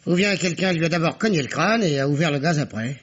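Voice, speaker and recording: Higher-voiced, fumbling police underling. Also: male voice, lively intonation, a bit bright, breathy edge. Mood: fumbling, pondering. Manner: halting, stammering over words, plodding. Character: muddled, fumbling male police subordinate. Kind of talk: French vintage radio mystery acting. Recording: dull vintage radio recording.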